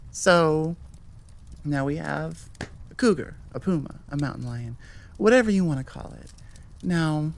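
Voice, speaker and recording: An American woman hosts a casual outro with a slightly low voice. She talks at an unhurried, very slow overall pace, rambling and correcting herself as she goes in an informal, conversational way. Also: darkish tone. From Nevada, United States. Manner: informal, self-correcting, chuckling asides. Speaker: audio creator, host.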